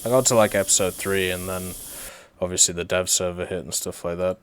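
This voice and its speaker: A young British man whose accent has softened over years in Canada, chatting casually while streaming a game and answering chat. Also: low-pitched, fairly even intonation, a little fast, a little hiss or hum. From Britain. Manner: laid-back, rambling, answers chat. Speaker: livestreamer. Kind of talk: casual gameplay commentary.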